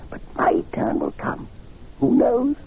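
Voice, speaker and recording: An actor playing a frail, decadent old gentleman in an old-time radio drama, gravelly and halting, savoring his words as they break into breathy whispers. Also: natural voice. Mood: trembling relish. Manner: theatrical, savoring, halting, courtly. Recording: dull, hissy vintage radio recording.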